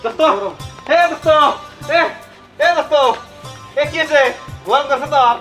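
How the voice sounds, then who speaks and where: Bright, high-voiced rural Bangladeshi village man acted. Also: normal speed, soft background music, low-quality recording. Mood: joyful, eager. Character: warm-hearted village friend, rustic and eager. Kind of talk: Bangla short-film drama acting.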